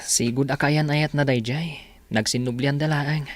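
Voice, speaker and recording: A man's voice: mid-range voice, fairly even intonation, normal speed, a little hiss or hum, close to an everyday voice. A man playing a decent, earnest young villager in a radio drama, speaking with an Ilocano accent. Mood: frank. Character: decent, sympathetic young man, disapproving of his womanizing cousin.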